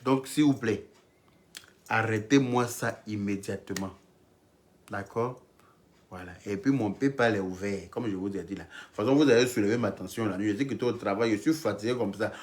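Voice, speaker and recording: A man's voice: lowish voice, a little fast. A man hosting a livestream and taking calls, talking bluntly and crudely in a dismissive manner. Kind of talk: casual live-stream talk.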